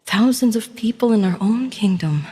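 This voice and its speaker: A gentle young crown princess, played by a woman, speaks with refined, formal courtly phrasing and a sense of burdened duty. Her medium-pitched voice rings with long reverb, as in a fantasy audio story. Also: expressive, moderate pace, slightly rough. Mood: wistful, troubled.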